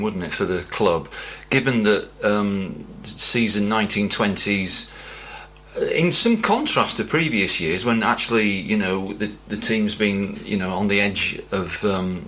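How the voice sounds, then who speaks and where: A man's voice: lowish voice, bright, forceful tone. A man working as a radio interviewer, putting questions conversationally in a tentative, roundabout way.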